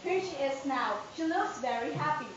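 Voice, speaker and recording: A woman's voice: high voice, lively intonation, moderate pace, slight background noise. A woman playing a dutiful household nurse, in an amateur stage reading. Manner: dutiful, then shrieking alarm. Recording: echoey room, mic far off, dull sound.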